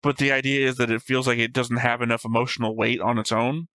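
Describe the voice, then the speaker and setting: Man chatting casually in an even, dry-sounding tone. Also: medium pitch, fast, no room sound. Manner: rambling, explanatory, swears casually. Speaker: reaction show host. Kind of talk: casual chat, discussing an anime episode.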